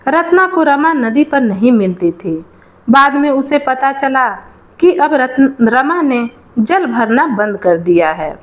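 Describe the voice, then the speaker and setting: A woman narrates a moral folk tale in a fairly high voice. Her pitch moves up and down in a lively way, and she takes her time over each sentence as a storyteller.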